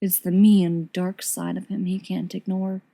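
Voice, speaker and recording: A woman reading a story aloud as an expressive scripted narration. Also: lowish voice, a little slow, vocal fry. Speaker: audiobook reader of fan fiction.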